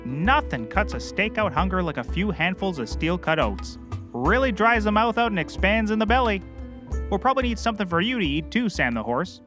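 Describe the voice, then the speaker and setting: A man's voice: high voice, very animated, fairly quick, a bit bright, soft background music. An actor playing a bumbling, eager and clueless male private eye in a scripted comedy, chatty and naively confident. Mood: busy planning. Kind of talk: scripted comedy audio drama.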